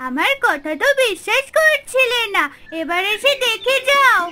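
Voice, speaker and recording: Eager, high-pitched little girl. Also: expressive, a little slow, sharp tone, heavily acted. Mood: excited. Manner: childlike, eager, innocent. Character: earnest, kind-hearted little village girl. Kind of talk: cartoon fairy-tale voice acting.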